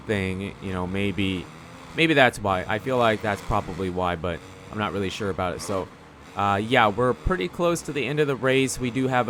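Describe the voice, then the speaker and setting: Low-voiced man, noisy recording. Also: fairly bright tone. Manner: rambling, conversational, slangy. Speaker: gaming video commentator. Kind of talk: casual gameplay commentary.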